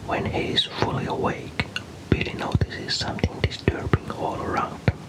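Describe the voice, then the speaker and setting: A man whispers a story for ASMR, entirely unvoiced and breathy. He is from Finland and narrates with calm, careful, unhurried phrasing, keeping the delivery soft throughout. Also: rough recording.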